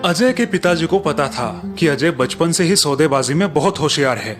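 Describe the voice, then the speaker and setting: A man's voice: fairly high pitch, expressive, normal speed, music in the background. A man narrating a scripted story as its storyteller.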